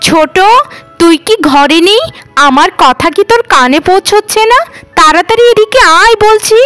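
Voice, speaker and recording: Casting: woman playing sharp-tongued, envious young sister in a cartoon, high and very lively, music faintly behind. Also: moderate pace, no room sound. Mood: impatient. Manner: nagging, sarcastic. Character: jealous, sharp-tongued middle landlord's daughter. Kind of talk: cartoon voice acting.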